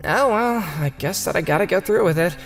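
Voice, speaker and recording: Lady doing a puzzled earnest boy narrator, manga dub, really animated pitch, faint music. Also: brisk. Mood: resigned. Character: earnest, bewildered fifth-grade boy narrating his story. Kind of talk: scripted first-person narration in a manga dub.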